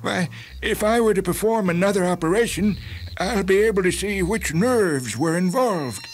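A man's voice: high voice, expressive, moderate pace, rough recording. A man playing an anxious, evasive surgeon with a hesitant, hedging manner in a radio story drama. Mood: evasive, placating. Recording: music clearly behind.

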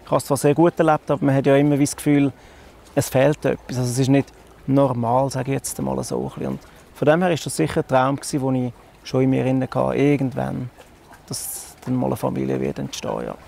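A man in his thirties, originally from Italy, with a Swiss German accent, speaking slowly and thoughtfully in an outdoor interview. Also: medium pitch, level tone, creaky. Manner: slow, thoughtful, quiet. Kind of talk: personal interview. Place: outdoors on a bench. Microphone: lapel.

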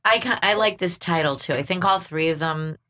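Bright, low-pitched woman in conversation. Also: a little fast. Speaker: podcast panelist. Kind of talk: casual literary discussion.

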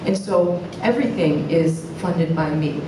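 Female panelist from Cape Verde, slightly low voice, heard from a distance. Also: measured pace. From born in Praia, Cape Verde. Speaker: panelist, singer and DJ. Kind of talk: conference panel talk. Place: stage in a conference hall. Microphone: handheld microphone, recorded by audience camera. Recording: echoey hall from far, noisy.

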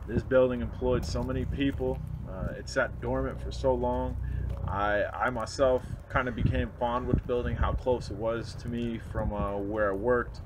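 A man narrating his vlog outdoors, earnest and reflective, often pausing and restarting. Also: mid-range voice, steady tone, background noise. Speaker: urban explorer vlogger. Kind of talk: casual walk-and-talk narration. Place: outdoors at a snowy demolition site.

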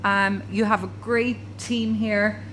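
A woman's voice: medium pitch, little pitch movement, takes it slowly, a bit bright, a little hiss or hum. An Irish woman in her forties, upbeat and complimentary while interviewing a shop owner. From Ireland. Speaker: interviewer, estate agent. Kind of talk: promotional interview. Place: inside a butcher shop.

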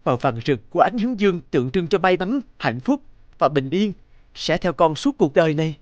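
A man voicing an excitable, loving young father in a cartoon, with an earnest, warm delivery. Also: on the high side, fairly quick, no echo. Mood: tender, excited. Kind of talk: cartoon voice acting. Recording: dull, dry, music underneath.

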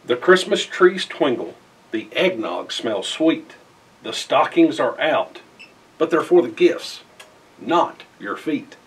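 Man, middle-aged, husky voice, unhurried pace, theatrical children's storytelling, emphatic phrasing, recorded from a distance. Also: medium pitch. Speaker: storybook reader. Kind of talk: children's picture-book read-aloud in rhyme. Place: indoors in front of a festive backdrop.

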